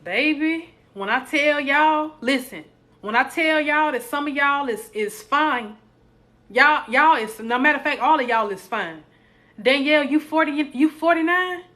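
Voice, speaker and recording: A woman's voice: high-pitched, a little slow. A woman, hosting a casual live stream, reading out viewer comments and hyping up her chat. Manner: reads out viewer comments and hypes the chat, animated and playful.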